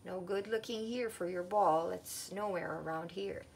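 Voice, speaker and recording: Woman voicing a brisk, hearty Mister Pear. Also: lowish voice, creaky, a little hiss or hum, voice pushed down.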